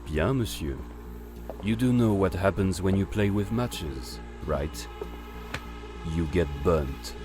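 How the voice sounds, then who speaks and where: A man playing a suave, silver-tongued gentleman con artist with a flaming head in an audio drama, courtly and sprinkling in French phrases. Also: deep voice, animated, takes it slowly, long pauses, music in the background. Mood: cool defiance.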